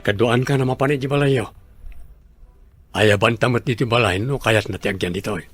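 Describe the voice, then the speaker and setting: A man's voice: medium pitch, fairly quick, a bit bright, slightly rough, no echo, faint music behind. A man with an Ilocano Filipino accent playing a friendly, inviting uncle in an audio drama. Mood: persuading.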